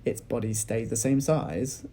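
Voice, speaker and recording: A man with an English accent reading a children's story aloud like a teacher, in animated narration. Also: medium pitch, measured pace, slight background noise. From England.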